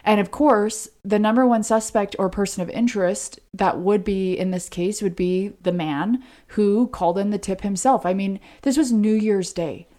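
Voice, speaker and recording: A woman's voice: mid-range voice, normal speed, few pauses, dark tone. A woman co-hosting a podcast, telling a true-crime story in a conversational way. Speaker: podcast co-host.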